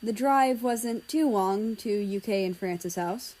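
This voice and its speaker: A woman's voice: mid-range voice, slow, a little mellow. A woman narrating a written story as its reader.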